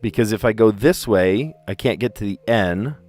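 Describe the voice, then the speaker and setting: A man's voice: fairly low pitch, normal speed, a little mellow, faint music behind. A man from the US East Coast with a general American accent, hosting a puzzle livestream and chatting casually as he thinks aloud, with careful diction.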